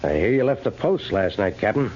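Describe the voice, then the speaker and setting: An actor playing a brisk, wry senior army officer in a 1950s radio drama. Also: male voice, lowish voice, very animated, a little mellow. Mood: wry authority. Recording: muffled, hissy 1950s radio recording.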